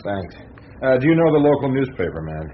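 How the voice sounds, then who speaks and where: An actor playing a sceptical, world-weary newspaper reporter in a radio drama, dry and laconic. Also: male voice, mid-range voice, sing-song, brisk, lightly acted. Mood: polite, probing. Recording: slightly dull, noisy radio recording.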